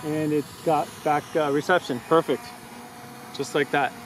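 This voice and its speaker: A man with a slightly higher voice talks casually while flying a drone in an open park, upbeat and thinking aloud. The camera mic picks up clear traffic and wind noise around him. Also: steady tone, moderate pace. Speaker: drone reviewer. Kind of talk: casual outdoor review commentary. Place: outdoors in a park field.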